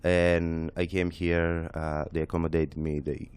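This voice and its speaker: Low smooth Greek-accented man. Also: level tone, darkish tone, dry recording. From Greece. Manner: halting, many uh fillers and restarts. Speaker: real estate radio co-host. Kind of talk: casual radio chat. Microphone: studio microphone.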